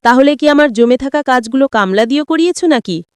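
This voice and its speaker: A teasing, easily irritated young husband in an audio story, voiced by a woman narrator. The delivery is smooth and a little high, full of challenging questions. It sounds close and dry. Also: animated, brisk, dry recording. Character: teasing, touchy young husband back from working abroad. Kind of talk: acted dialogue in an audio story.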